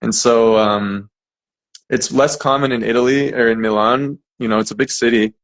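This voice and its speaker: A man's voice: medium pitch, moderate pace, rough recording. A young American man from California, an English teacher chatting casually as an interview guest with lots of fillers. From California, United States. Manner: relaxed, chatty, many fillers and restarts.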